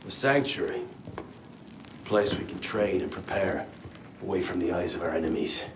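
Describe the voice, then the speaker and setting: Male assassin guide, acted: low, terse, scornful game character, long echo around him. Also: moderate pace, natural voice. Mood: gruff, proud. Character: gruff, proud, prickly male assassin guide. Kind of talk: dramatic video game acting. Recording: long reverb, clear background noise.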